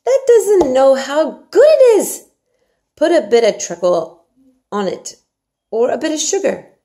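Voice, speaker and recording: A woman's voice: high voice, very animated, a little slow, warm tone, voice pushed up, heavily acted. A woman reading storybook dialogue as a hearty, outspoken young housemaid who scolds in a blunt, emphatic way. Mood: indignant. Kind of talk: storybook dialogue read aloud.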